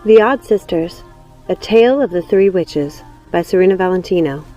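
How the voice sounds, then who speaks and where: Music bed, woman host, scripted announcement, medium-low pitch. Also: lively intonation, moderate pace, warm tone, poor audio. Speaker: channel host.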